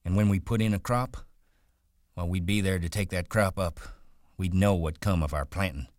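A man voicing a ranch worker who talks in a rustic drawl, brisk and rolling. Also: sits low, dry recording, studio quality, pitched above the performer's own voice, natural voice. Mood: dreamy. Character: wiry male ranch worker, guarded and dreaming.

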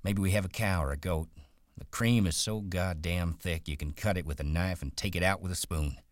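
A man voicing a ranch worker who talks in a rustic drawl, brisk and rolling. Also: deep voice, no room sound, clean studio sound, close to an everyday voice. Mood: dreamy. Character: wiry male ranch worker, guarded and dreaming.

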